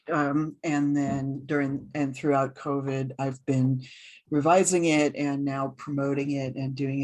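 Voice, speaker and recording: A woman in her fifties from California talks about her book on a video call from a small room at home, relaxed and chatty, with frequent fillers. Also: deep voice. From Oakland, California. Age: 50s. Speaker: author. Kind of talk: casual talk on a video call.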